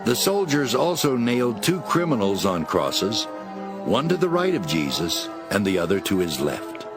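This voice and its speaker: A man narrating a dramatized Bible as expressive, scripted storytelling. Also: on the low side, moderate pace. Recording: music behind.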